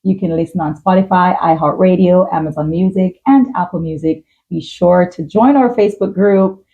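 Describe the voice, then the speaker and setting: A woman's voice: fairly low pitch, moderate pace, phone-quality sound. A woman hosting a podcast in a conversational, supportive way. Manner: affirming, supportive. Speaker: talk show host.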